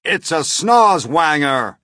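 A man voicing an excitable, alarmed police chief in a storybook, blustering and shouting. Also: high-pitched, slow pace, husky, dry recording, clean studio sound, pitched above the performer's own voice, exaggerated voice.

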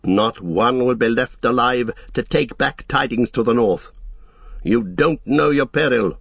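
A man reading the part of a noble warrior leader who speaks with steady, solemn command. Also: medium pitch, unhurried, slightly rough, dry recording.

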